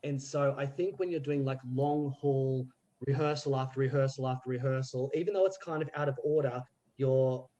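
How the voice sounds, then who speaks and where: A man in casual podcast chat about theatre, telling stories in an animated way and laughing often. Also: mid-range voice, normal speed, lo-fi sound. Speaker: theatre group member.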